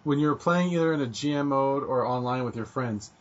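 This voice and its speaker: A Canadian man co-hosting a podcast, telling animated stories and teasing his co-hosts. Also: mid-range voice, brisk. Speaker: podcast co-host. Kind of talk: casual gaming chat. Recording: dull lo-fi podcast audio.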